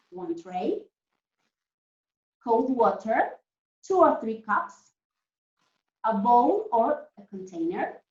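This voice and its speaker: A woman with a fairly high voice teaches young children in an interactive lesson. She explains in simple terms and keeps asking short check questions. The sound is echoey and somewhat muffled. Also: darkish tone. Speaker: primary school teacher leading an online lesson. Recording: echoey room, distant muffled mic.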